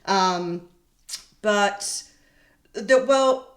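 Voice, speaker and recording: A woman's voice: mid-range voice, very slow. A woman speaking casually and reflectively in a conversation about her work as a producer. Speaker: producer and sound engineer. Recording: echoey room.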